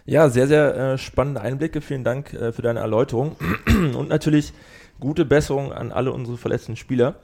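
A man co-hosting a club podcast, reading sponsor and club news in a steady way with small slips. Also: medium pitch, fairly quick, creaky. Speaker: podcast co-host.

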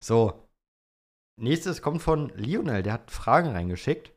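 A man's voice: medium pitch, very animated, takes it slowly, darkish tone. A young man co-hosting a podcast, casually answering listener questions in an easygoing, colloquial way. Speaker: podcast co-host.

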